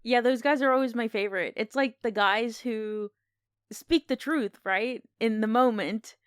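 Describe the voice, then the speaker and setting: A woman's voice: a little high, measured pace, clear, resonant tone, dry recording, studio quality. A woman co-hosting a podcast, casually discussing film in a conversational, opinionated way. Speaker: podcast co-host.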